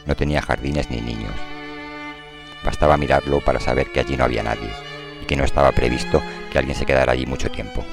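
A man narrating a dramatized novel in a measured, literary storytelling style. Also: a bass voice, steady tone, gravelly. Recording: prominent music under the voice.

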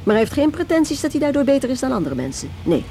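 A woman's voice: high-pitched, big pitch swings, quick pace, vocal fry, warm tone, a little hiss or hum, heavily acted. An actress in a Dutch radio drama playing a curt, stubborn working mother who defiantly guards her son. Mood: proud, defiant. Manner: brusque, stubborn, dismissive.

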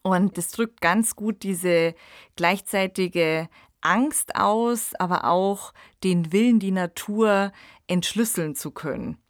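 Slow, measured woman podcast host. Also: medium pitch, no echo, studio quality. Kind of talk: podcast presentation and conversation.

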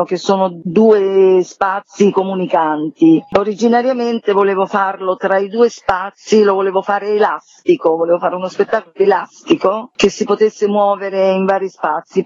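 A woman's voice: mid-range voice, a little mellow, dry recording. Woman in casual interview, dull dry recording. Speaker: theatre writer and director being interviewed.

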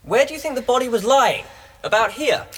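A young man playing an eager, determined newlywed amateur sleuth in a radio drama, with an animated and decisive manner. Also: very high voice, fairly quick, breathy edge, exaggerated voice. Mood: incredulous, probing. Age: young adult.

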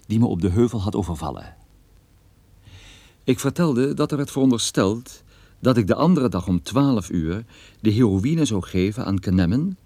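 An actor playing a private detective who narrates his own case in a measured first-person radio drama style. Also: mid-range voice, dark tone, breathy edge, no room sound. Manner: measured, recounting.

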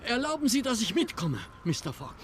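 Eager traveller, high voice, pitch all over the place, loud noise behind. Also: male voice, huge pitch swings, brisk, full character voice. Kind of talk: audio drama acting.

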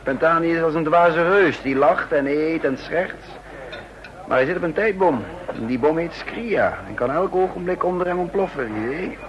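A man's voice: on the high side, normal speed, muffled recording, a crowd in the background, natural voice. Man acting a cool authoritative spy in a radio play. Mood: condescending, argumentative. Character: male undercover spy in office, cool and authoritative. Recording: hissy old radio drama recording.

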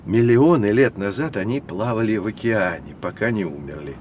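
An actor playing a lively, patient professor who explains things expressively to children in an educational audio drama. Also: male voice, lowish voice, normal speed, slight background noise, close to an everyday voice. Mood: engaged, teaching.

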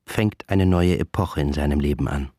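Raspy low-voiced man narrating over music. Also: warm tone, no echo. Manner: formal, deliberate. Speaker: second narrator in a radio play. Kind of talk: scripted literary narration, dramatic. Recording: studio radio play with music underneath.

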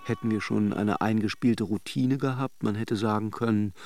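An actor playing a worldly, ironic middle-aged man who recalls an affair in a radio drama, with deliberate hesitations. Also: lowish voice, steady tone, darkish tone, soft background music, close to an everyday voice. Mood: reflective. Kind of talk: radio drama monologue, narrated as a confession.

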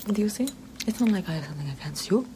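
A woman in an ASMR roleplay voicing a sly, flattering female poison seller in Renaissance Rome, with a soft whispery voice and calm menace, close to the mic. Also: mid-range voice, rapid-fire, close to an everyday voice. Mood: coaxing whisper. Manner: sweet-talking, with darkly calm menace. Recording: steady background hiss.

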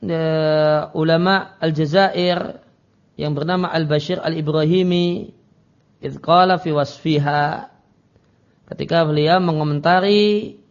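A man's voice: on the high side, steady tone, slow, pauses often. A man giving an Islamic lecture as a teacher, reading Arabic phrases and explaining them didactically in a dull, lo-fi recording.

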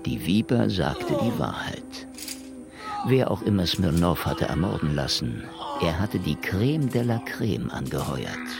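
A brooding, defiant private eye telling his story in a radio play. The voice sits extremely low and crackles with gravel, unfolding deliberately over music. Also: big pitch swings, exaggerated voice. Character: male hard-boiled detective narrator, brooding and defiant. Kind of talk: noir radio drama narration.

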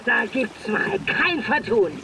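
An actress playing an excitable, theatrical commentator at a pirate ship race in a children's audio drama. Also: female voice, on the high side, takes it slowly, creaky, noisy background, heavily acted. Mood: lively commentary.

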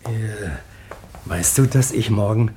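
An actor playing an anxious, wistful older stage actor in a German radio drama, declaiming verse slowly and then muttering his doubts haltingly. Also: fairly low pitch, mellow, roomy sound, slight background noise. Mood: nervous, hopeful.

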